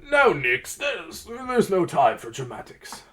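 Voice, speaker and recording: A man voicing a fat, smug male circus crime boss with a drawn-out, self-amused delivery in a story reading. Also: high voice, huge pitch swings, fairly bright tone, pitched above the performer's own voice, exaggerated voice. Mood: uneasy.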